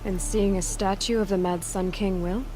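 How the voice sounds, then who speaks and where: An actress playing a young, plain-spoken heroine in a video game, direct and sceptical yet compassionate. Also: female voice, mid-range voice, fairly even intonation, moderate pace, over music, close to an everyday voice, poor audio. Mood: firm.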